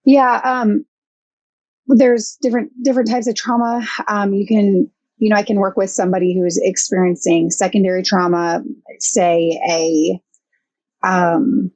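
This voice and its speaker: A young woman, a counseling intern and guest, talking thoughtfully with many pauses and fillers. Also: mid-range voice, level tone, a little mellow, no room sound. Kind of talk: casual podcast interview.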